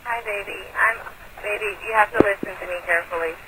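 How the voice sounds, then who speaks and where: A distressed woman trying to stay calm as she leaves a personal voicemail over the telephone. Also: medium pitch, a little fast. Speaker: airline passenger leaving a phone message. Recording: noisy archived answering-machine phone message.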